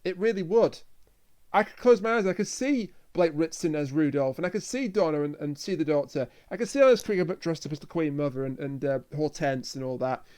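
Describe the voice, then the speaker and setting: A man's voice: on the high side, animated, a little fast, a little mellow. A man co-hosting a casual review discussion, talking earnestly and repeating himself for emphasis. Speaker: podcast co-host.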